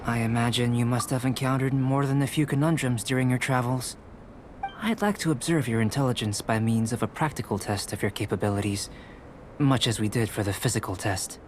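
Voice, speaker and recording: An actor playing a calm, curious young male alchemist in a video game, speaking in a measured, polite way. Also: medium pitch, background noise, natural voice. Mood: courteous. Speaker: alchemist researcher. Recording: game audio with music behind.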